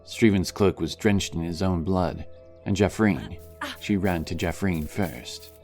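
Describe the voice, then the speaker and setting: A man with a very low voice narrates a fantasy adventure in a dramatic, scripted style. Music plays clearly behind him. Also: a little slow, darkish tone. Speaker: audiobook narrator.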